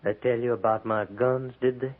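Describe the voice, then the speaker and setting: A man's voice: medium pitch, steady tone, moderate pace, close to an everyday voice. An American man playing a bitter, defiant Confederate prisoner turned Union soldier in a 1950s radio drama, speaking sullenly in blunt, folksy frontier grammar. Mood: challenging. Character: bitter, defiant captured Confederate cavalryman pressed into Union service. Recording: tinny, band-limited 1950s radio recording.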